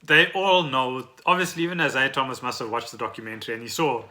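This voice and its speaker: A man's voice: mid-range voice, fairly even intonation, fast, bright, forceful tone. A South African man co-hosting a podcast, explaining trending news in an informal, chatty way. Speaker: podcast co-host. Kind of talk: casual chat about trending news.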